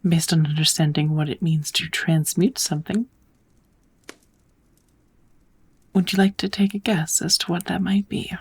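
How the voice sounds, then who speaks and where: A woman playing a kind witch who patiently teaches magic in a soft, often whispered ASMR roleplay voice. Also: on the low side, flat delivery, breathy edge. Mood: gentle, explaining. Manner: patient, encouraging, unhurried teaching. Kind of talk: ASMR roleplay, whispered lesson to one listener.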